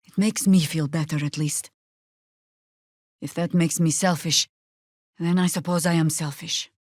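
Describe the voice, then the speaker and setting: A woman's voice: low voice, fairly even intonation, measured pace, a little mellow, no room sound. A woman playing an older, seasoned druid warrior in a fantasy video game, wry, dry and commanding in manner. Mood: dry, resolute.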